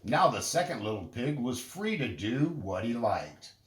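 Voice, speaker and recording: A man narrating a children's story in an expressive, deliberate storytelling manner. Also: medium pitch, reverberant. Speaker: storybook reader.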